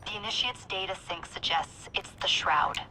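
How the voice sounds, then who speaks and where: An actress playing a businesslike leader of secret modern operatives in a video game, giving brisk, matter-of-fact briefings. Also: female voice, mid-range voice, creaky, noisy background, lightly acted. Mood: firm.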